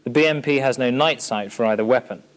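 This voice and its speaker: A man's voice: medium pitch, fast talker. Formal British male military film narrator. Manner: formal, matter-of-fact. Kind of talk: scripted instructional narration. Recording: 1980s archive training film soundtrack.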